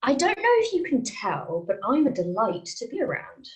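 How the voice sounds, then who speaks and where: A woman reading a sardonic, sharp-tongued new journalist in an amateur script read. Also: sits high, wildly swooping pitch, moderate pace.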